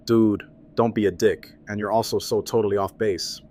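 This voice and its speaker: Man playing low-voiced, laid-back game master. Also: fairly even intonation, moderate pace, darkish tone, close to an everyday voice. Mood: annoyed. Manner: casual, slangy. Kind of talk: audio drama acting.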